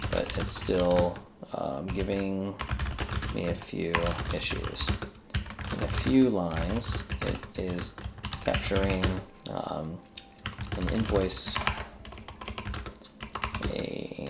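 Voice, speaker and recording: A man giving a casual coding walkthrough, thinking aloud in a halting way as he works. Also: low voice, gravelly, heavy background noise. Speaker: tutorial host, accountant who codes.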